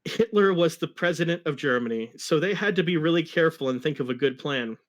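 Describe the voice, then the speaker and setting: A man's voice: on the high side, brisk. A man giving a comic dramatic reading of a fan fiction story, with wry asides.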